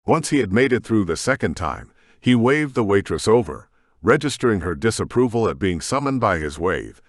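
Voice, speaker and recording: Man reading a sci-fi audiobook, lowish voice with a lot of melody, dry close sound. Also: moderate pace, dry recording. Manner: steady storytelling narration. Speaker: audiobook narrator. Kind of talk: scripted narration.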